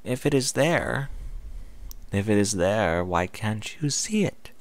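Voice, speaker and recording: A man's voice: fairly low pitch, big pitch swings, slow pace, pitched above the performer's own voice, full character voice. A man voicing a bashful, puzzled female pony in a read-aloud story, tentative and questioning.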